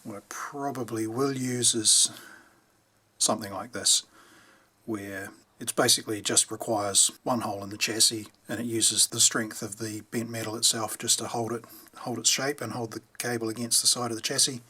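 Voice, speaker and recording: A man's voice: fairly low pitch, fairly even intonation, normal speed, bright, forceful tone, breathy edge. A man giving casual hands-on repair commentary, thinking aloud as he works. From New Zealand. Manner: unscripted, thinking aloud while working. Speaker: hobbyist radio repairer presenting a how-to video.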